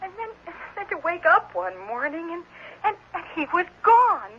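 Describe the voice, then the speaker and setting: A flustered, apologetic foster mother in a vintage radio drama. Her high voice swings widely and she halts and repeats herself. The recording is old and muffled. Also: very animated, a bit bright, exaggerated voice. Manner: halting, stammering, repeats words. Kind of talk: 1970s radio drama acting.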